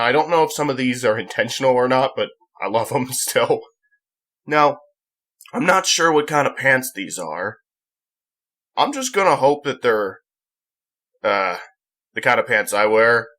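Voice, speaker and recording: Bright-voiced young man, rambling casually. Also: mid-range voice, animated. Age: young adult. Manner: rambling, halting, self-correcting. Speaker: gaming channel host. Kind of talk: casual unscripted vlog commentary.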